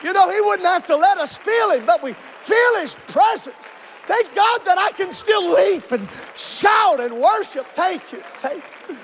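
A man with a very high voice preaches in a church, winded and fervent, his words running in chanted repeats that rise to shouts and sink to hushed murmurs. A congregation can be faintly heard behind him. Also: animated, a little slow, a faint crowd, a little hiss or hum. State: out of breath. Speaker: pastor. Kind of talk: Pentecostal preaching and prayer.

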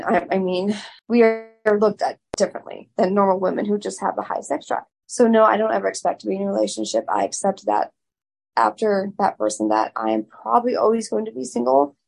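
A woman guest with a raspy voice, speaking loudly and frankly in a candid interview. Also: medium pitch. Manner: loud, frank and open.

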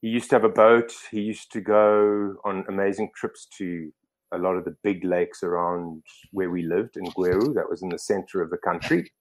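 A man's voice: deep voice, fairly even intonation, normal speed. A middle-aged man from Zimbabwe telling stories as a podcast guest, rambling with many fillers and restarts. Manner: rambling, self-correcting, lots of fillers. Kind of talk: casual storytelling interview.

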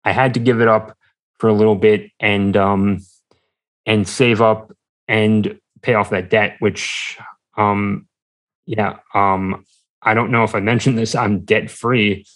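A man speaking as a guest in a casual interview, hesitant and halting as he searches for his words. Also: lowish voice, level tone, a bit bright. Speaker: podcast guest, entrepreneur.

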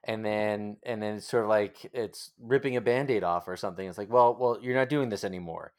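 A man's voice: on the low side, fairly quick, darkish tone, smooth. A man hosting a casual interview, speaking sympathetically and feeling his way thoughtfully through his phrasing. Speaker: interviewer, podcast host.

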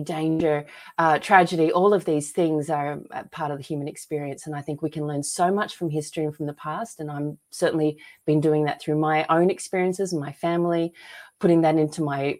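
An Australian woman with a slightly low-pitched voice talks as a podcast guest. Her delivery is earnest and emphatic, in a conversational storytelling style. She speaks as someone sharing her own experience and convictions. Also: darkish tone. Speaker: podcast guest, author and filmmaker. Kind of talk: conversational interview, personal storytelling.

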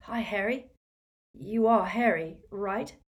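A woman's voice: mid-range voice, slow, pitched above the performer's own voice, close to an everyday voice. A woman voicing an injured young man in a storybook, murmuring faintly with cheeky, weak humour. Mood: teasing, tired.

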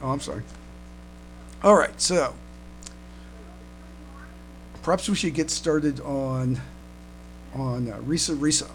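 A man's voice: medium pitch, slow, long pauses, low-quality recording. A man chatting casually as a podcast co-host, often stumbling and repeating his words as he goes. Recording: steady background noise and hum.

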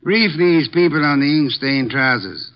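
A grand, imperious old detective lays out deductions in a deliberate, pompous drawl. His voice is gravelly and a touch high. It comes through a dull, lo-fi 1950 radio transcription. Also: lively intonation. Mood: coolly deductive. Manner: pompous, deliberate, clipped commands. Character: corpulent older male genius detective, imperious. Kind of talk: 1950s radio drama acting.

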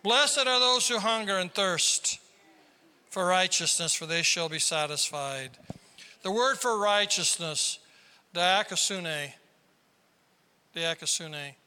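A man from South Dakota preaching a sermon as pastor, with a squeaky tenor voice and emphatic delivery that climbs into sudden loud bursts. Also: expressive, slow pace, pauses often, clean tone. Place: large church hall. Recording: reverberant hall.

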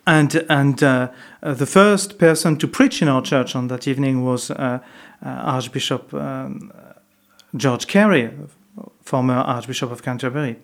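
A man's voice: mid-range voice, measured pace. A man from France with a French accent, a monk telling stories in an interview, hesitant with many fillers and restarts. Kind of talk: reflective interview storytelling.

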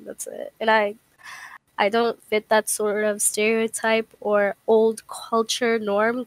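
A woman's voice: fairly high pitch, no room sound, low-quality recording. Young American woman chatting in slang. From United States. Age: young adult. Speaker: participant in a group call. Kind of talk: casual chat.

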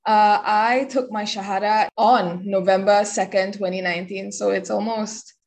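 A woman's voice: mid-range voice, steady tone, moderate pace, a little mellow. Chatty Singaporean woman guest. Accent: Singaporean English. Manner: chatty, many "like" fillers. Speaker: podcast guest. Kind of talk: casual interview chat.

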